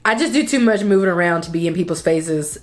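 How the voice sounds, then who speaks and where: A woman's voice: on the low side, animated, fast. A woman in her thirties chatting casually to camera as a vlogger. She sounds stuffy and congested and rambles with sighs and coughs. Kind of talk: casual chat.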